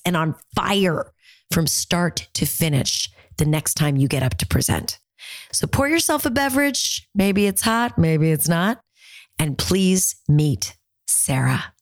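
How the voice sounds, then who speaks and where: A woman's voice: lowish voice, dry recording, clean studio sound. A woman in her late forties hosting a coaching call, with an upbeat, encouraging manner and playful asides. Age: 40s. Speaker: podcast host and speaking coach.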